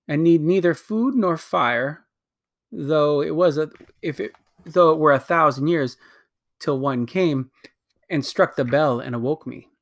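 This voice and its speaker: A man's voice: on the high side, no echo, studio quality. Jadis, a cold proud queen, as a man reads her. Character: proud imperious queen, ruthless, grand.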